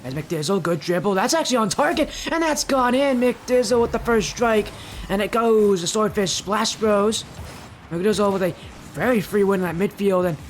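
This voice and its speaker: A teenage boy with a high-pitched, youthful voice, chatting with his live stream audience and casting a video game match, loud and excited on goals. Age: teens. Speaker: esports caster. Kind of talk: live stream chat and play-by-play commentary.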